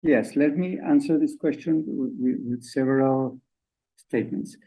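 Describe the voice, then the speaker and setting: Halting, husky-voiced man with a mellow tone. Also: mid-range voice, rough recording. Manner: halting, repeats words, measured. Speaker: trade agency director. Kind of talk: business interview.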